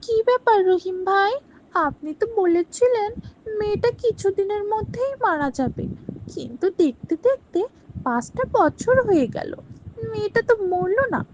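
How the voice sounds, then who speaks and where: A woman's voice: extremely high pitch, very animated, moderate pace, darkish tone, pitched above the performer's own voice. A woman voicing a cruel, greedy stepmother in a cartoon story, talking in a harsh, scolding, sneering way. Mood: anxious. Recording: dull lo-fi with faint hiss.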